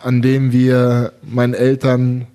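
A man's voice: mid-range voice, monotone, slow, dark tone, slight background noise. A young man from the former Soviet Union, a handball player chatting on a talk show. He tells his stories at length in an easy, self-mocking way and laughs at himself a lot. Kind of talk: casual talk-show chat.

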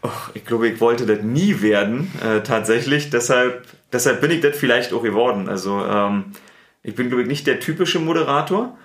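A man's voice: mid-range voice, normal speed, echoey room. Chatty man with a Berlin accent. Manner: relaxed, chatty, many fillers. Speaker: sports TV presenter and editor. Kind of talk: casual interview answers. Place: studio.

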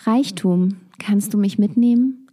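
A woman voicing Love, a gentle female figure in a fable, asking softly for help. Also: mid-range voice, unhurried, lightly acted. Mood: pleading.

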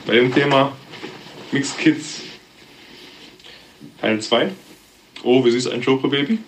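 Relaxed rambling man in his thirties. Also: medium pitch, a little hiss or hum, rough recording. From Germany. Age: 30s. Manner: relaxed, rambling, with fillers and laughs. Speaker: podcast guest. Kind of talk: casual podcast chat.

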